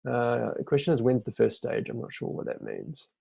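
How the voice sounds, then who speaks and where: A man hosting a live webinar, reading out audience questions and answering them in a relaxed, off-the-cuff way over a video-call mic. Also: mid-range voice, brisk, creaky, slightly rough, no echo. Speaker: fellowship team member hosting a webinar Q&A.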